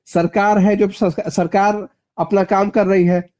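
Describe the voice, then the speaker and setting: A man's voice: high voice, level tone, unhurried, dark tone. A man from Bihar, speaking emphatically and repeating phrases for stress as a politician interviewed over the phone. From Bihar, India (Magadh region). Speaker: party politician being interviewed. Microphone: phone call.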